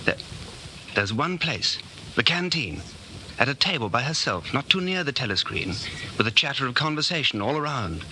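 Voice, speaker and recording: Casting: bitter, earnest secret rebel, extremely forceful edgy voice, 1940s radio acting, slightly noisy archival sound. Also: male voice, medium pitch, very animated, normal speed. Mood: agitated scheming. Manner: tense, earnest, intense. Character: anxious, bitter thirty-nine-year-old man, secret dissenter. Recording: faintly noisy old 1940s radio recording.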